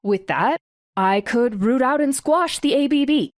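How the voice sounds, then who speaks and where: A woman's voice: high-pitched, lively intonation, unhurried, no room sound, studio quality. A woman playing a guarded, determined young mother in an audiobook drama, speaking with restraint and firmness. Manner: restrained, firm. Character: guarded, determined super-powered mother standing up to ex-husband. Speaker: audiobook voice actor.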